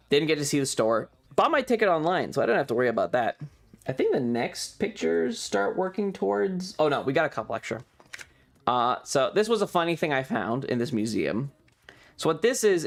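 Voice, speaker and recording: A man's voice: fairly high pitch, gravelly. A man streamer casually recounting a trip in a rambling, sweary, amused way, with music behind him.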